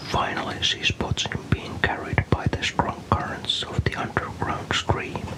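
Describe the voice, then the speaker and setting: Guy from finland doing asmr storytime, all soft breathy whisper, calm and unhurried narration. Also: poor audio.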